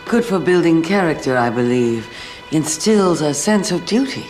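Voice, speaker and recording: A woman's voice: sits low, animated, moderate pace, darkish tone. An actress playing a middle-aged, imperious, money-grabbing stepmother in a film drama, speaking in a cutting manner. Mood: smug. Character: haughty, money-grabbing stepmother and scornful wife. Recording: roomy echo, faint music behind.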